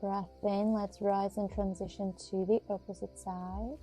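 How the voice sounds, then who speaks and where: A woman with a very dark, mellow voice at a medium pitch leads a guided yoga session. She instructs in a soothing, measured manner, leaving pauses between short phrases. Music plays behind her. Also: flat delivery.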